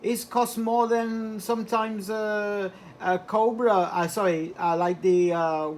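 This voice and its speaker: A man's voice: high-pitched, fairly even intonation, takes it slowly. Guy on ham radio, casual hesitant chat, repeats himself, bit of static. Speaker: amateur radio operator. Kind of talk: casual two-way radio chat with operator jargon. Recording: slightly noisy two-way radio transmission.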